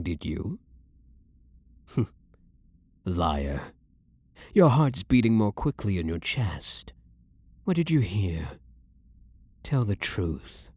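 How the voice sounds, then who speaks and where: A man playing a blunt, dominant, brooding vampire hunter who is secretly a vampire, in a husky voice with measured, formal, theatrical phrasing for an ASMR roleplay. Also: lowish voice, darkish tone, full character voice. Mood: commanding, teasing. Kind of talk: ASMR roleplay audio drama acting.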